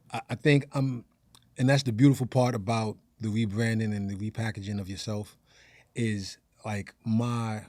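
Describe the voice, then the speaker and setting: An American man, a stand-up comedian guesting on a podcast, talking casually in slang and thinking out loud. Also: lowish voice, no room sound.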